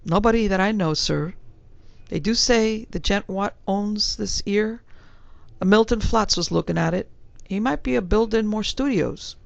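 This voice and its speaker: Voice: mid-range voice, measured pace, dry recording, dull audio, voice pushed up. A reader voicing a young male Cockney bellboy who drops his H's and keeps saying sir, blunt and uneasy. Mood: gossiping. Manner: dropped H's, rough working-class speech, repeated sirs.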